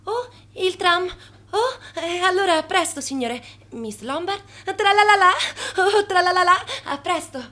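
An actress playing a coy, teasing young secretary of twenty-one in an Italian radio drama, playfully protesting. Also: female voice, very high-pitched, expressive, a little slow, bright, forceful tone, full character voice. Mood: flustered.

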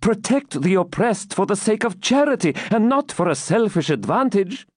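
A brave, devout young woman, as a man reads her lines, answering with earnest, solemn defiance. The voice sits a little higher than usual and moves in a lively way. It is recorded close and dry. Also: normal speed, no echo. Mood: defiant.

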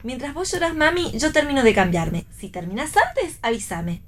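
An actress playing a defiant, wry young daughter in radio theatre, with an Argentine accent and a pointed manner. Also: female voice, sits high, big pitch swings, moderate pace, a little hiss or hum. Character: defiant, wry young pregnant daughter standing her ground.

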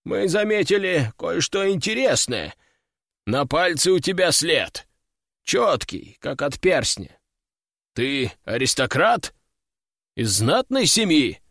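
A man's voice: fairly high pitch, sing-song, slow pace, a bit bright, no echo, clean studio sound, full character voice. A man doing a curt, suspicious imperial inspector. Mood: probing. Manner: curt, interrogating.

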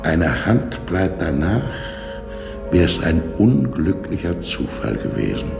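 Dry mocking jailer, very low creaky voice, slow pedantic delivery, echoey radio play. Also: male voice, heavily acted. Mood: coolly formal. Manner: deadpan, pedantic, unhurried. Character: dry, evasive male prison warder, coolly formal and mocking. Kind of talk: German radio drama acting. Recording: echoey reverberant space, music in the mix.

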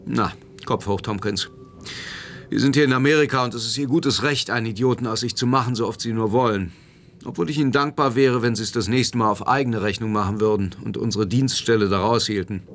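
Male police boss played by a man for radio play: medium pitch, sardonic, deliberate, commanding. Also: fairly bright tone, slight background noise, natural voice. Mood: sardonic, stern. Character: stern, sardonic male police lieutenant, gruffly paternal. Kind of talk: German radio drama acting.

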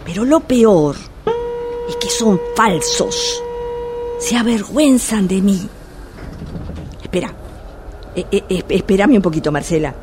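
An actress playing an exasperated, sarcastic blind street vendor in a radio drama, with a Rioplatense Argentine accent. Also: female voice, medium pitch, animated, a little slow, pauses often, close to an everyday voice. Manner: complaining, sarcastic, emphatic. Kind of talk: radio drama monologue as phone call. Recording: loud subway train noise throughout. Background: subway ambience.

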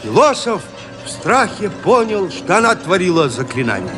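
A high-pitched, dramatic male storyteller. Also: big pitch swings, normal speed. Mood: suspenseful. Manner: theatrical, suspenseful delivery. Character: storyteller of a gothic horror tale, suspenseful. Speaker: narrator. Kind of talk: dramatic scripted narration of a gothic horror tale. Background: music.

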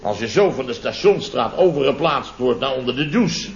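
An actor playing a flustered contractor in a 1970s radio comedy, telling domestic mishaps in halting, repetitive sentences full of tag questions. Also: male voice, mid-range voice, expressive, a crowd in the background, lightly acted. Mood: exasperated. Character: flustered male contractor recounting his chaotic domestic mishaps. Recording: dull vintage radio recording.

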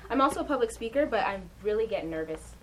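A woman's voice: on the high side, lively intonation, fast talker, distant mic. A young woman from Uganda working as a counselor, giving an encouraging informal talk to a class of young people and often checking in with "right?". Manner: encouraging, asks the group questions, frequent "right?". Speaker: counselor and guest speaker. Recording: noisy room recording.

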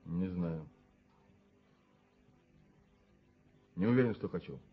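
An actor playing a blunt young gentleman doctor in a radio play, curt and sardonic. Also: deep voice, expressive, fairly quick, husky, heavily acted. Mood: sullen. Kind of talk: Soviet radio play acting. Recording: muffled 1970s radio drama recording.

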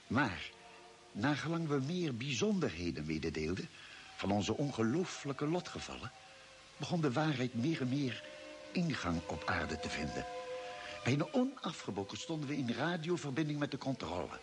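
An actor playing a grave expedition member, narrating a space voyage in a radio play with measured, solemn storytelling. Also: male voice, mid-range voice, sing-song, music in the background, natural voice. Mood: grave, reflective. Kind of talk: scripted dramatic narration.

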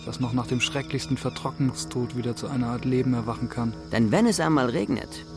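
A man giving a measured, solemn documentary-style narration in a radio play. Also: mid-range voice, over music, lightly acted. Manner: measured, descriptive reading.

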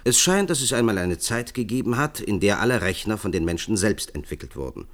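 A man acts out an earnest expert in a science-fiction radio play. His voice is very low, and he speaks formally, lecturing and persuading as if laying out a grand project. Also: animated, brisk, natural voice. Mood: explaining. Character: earnest male science expert pitching a visionary project to leaders. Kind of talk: German science-fiction radio drama acting.

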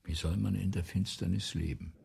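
An actor playing a weary, brooding writer in a German radio play, gravelly and breathy, speaking in a halting, formally polite way. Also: male voice, low voice, fairly even intonation, a loud crowd. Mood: wry, grave. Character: brooding, weary male writer, courteous and hesitant.

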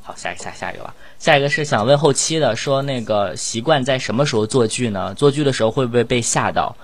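A man's voice: mid-range voice, lively intonation, quick pace. A man hosting a casual group chat and relaying questions to his guests. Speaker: host relaying audience questions.

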